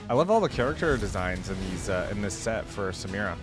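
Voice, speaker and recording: A man giving casual reaction commentary in an informal way, as if thinking out loud. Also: on the low side, lively intonation, quick pace. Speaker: reaction video host. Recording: music playing behind the voice. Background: game music and character voice lines.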